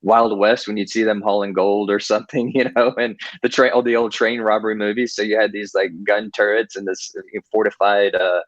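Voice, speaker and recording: Male author guest from Texas, rambling chat, low voice, lo-fi recording. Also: little pitch movement, a little fast, few pauses, dry recording. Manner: rambling, many restarts and fillers. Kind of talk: casual interview talk. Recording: dull lo-fi, dry.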